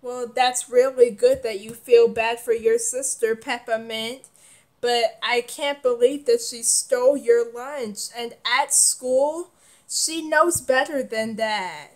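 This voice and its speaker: A woman's voice: fairly high pitch, slow. A woman playing a firm mother who lectures her children. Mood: calm. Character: stern, scolding mother.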